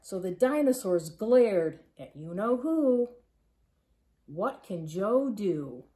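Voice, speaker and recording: A woman reads a children's picture book aloud in a living room, with playful emphasis and deliberate phrasing. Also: mid-range voice, expressive, mellow. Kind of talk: expressive children's storybook read-aloud. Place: living room at home, on a couch.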